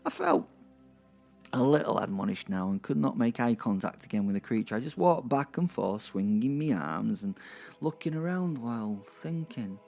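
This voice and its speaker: A man's voice: medium pitch, very animated, normal speed, vocal fry, darkish tone, soft background music. A man narrating a dramatic story in the first person, conversational and wry, with rambling, chatty asides. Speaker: narrator and host.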